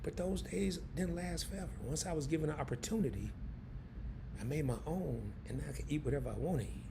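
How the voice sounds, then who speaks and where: A hoarse man hosting a live stream, chatting loosely and in slang straight to his viewers. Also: a little high, fast, background noise. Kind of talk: casual live stream chat.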